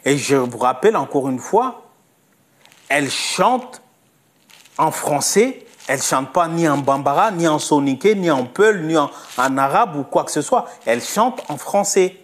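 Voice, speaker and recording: A man's voice: on the high side, lively intonation, unhurried. A man delivering a spoken opinion monologue, insistent, repeating himself and asking rhetorical questions. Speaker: commentator.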